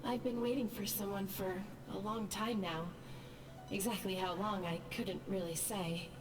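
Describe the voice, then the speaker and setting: A woman voicing a polite, lonely, gentle child ghost boy in a video game. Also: mid-range voice, steady tone, normal speed, far from the mic, over music, lightly acted. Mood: polite, wistful.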